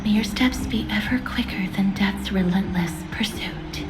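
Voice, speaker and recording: A woman's voice: medium pitch, a little slow, lightly acted. A woman voicing a tender, solemn lady in a video game, praying in a whisper. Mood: tender, pleading. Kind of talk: video game character acting. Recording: loud music behind the voice.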